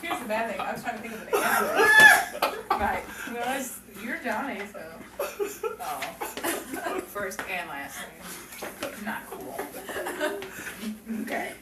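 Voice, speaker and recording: A woman's voice: fairly high pitch, huge pitch swings, takes it slowly, pauses often. A woman chatting casually and playfully during a rehearsal, breaking into giggles as she talks. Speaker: performer and producer in an amateur audio drama. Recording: roomy, distant mic, loud noise and crowd din.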